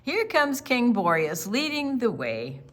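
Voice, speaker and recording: A woman reading a picture book aloud to young children in an expressive, question-and-answer storytime style. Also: on the high side, slow, a little hiss or hum.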